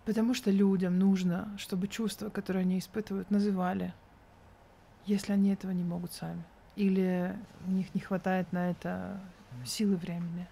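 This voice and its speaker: A woman's voice: mid-range voice, little pitch movement, brisk, vocal fry, mellow, slight background noise. A woman in her thirties speaking as an interview guest, candid and thoughtful with an ironic edge.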